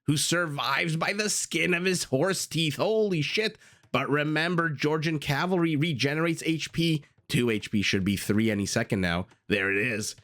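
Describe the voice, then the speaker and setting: A man with a raspy voice casting a strategy game match in an excitable, chatty way, full of asides and exclamations, into a desktop condenser mic. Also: mid-range voice. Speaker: game caster. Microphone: desktop USB condenser mic.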